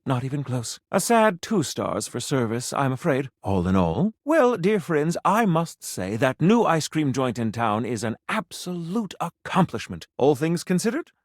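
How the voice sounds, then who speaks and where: A man's voice: a little high, sing-song, measured pace, few pauses, no echo, studio quality. A man voicing a pompous, flirtatious gourmet food critic for a video game, with florid, formal, dramatic delivery. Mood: disappointed, haughty, then grandly approving. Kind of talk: theatrical video game voice acting.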